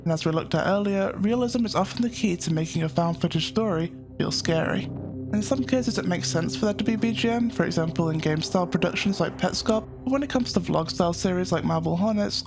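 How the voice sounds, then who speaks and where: A man narrating a scripted analytical video essay as its host, in a measured, explanatory manner. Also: high voice, creaky, music in the background.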